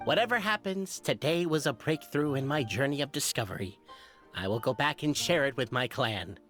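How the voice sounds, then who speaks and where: A woman playing a grandiose, excitable scholar in a video game, wordy and theatrical. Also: a bass voice, normal speed, soft background music. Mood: solemn, pleased. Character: scholarly, excitable, grandiose female clan researcher.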